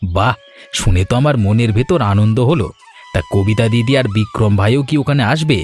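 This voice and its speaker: Polite young husband for a village cartoon, voiced by a man; mid-range pitch, earnest, deliberate, music underneath. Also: lively intonation, a little mellow, lightly acted. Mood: earnest, agreeable. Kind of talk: Bengali animated family story dialogue.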